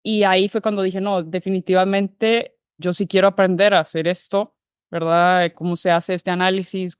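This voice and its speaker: Smooth low-voiced woman from Guatemala. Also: dry recording, clean studio sound. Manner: thoughtful, many fillers and restarts. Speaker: guest, behavior analyst. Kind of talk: relaxed interview conversation.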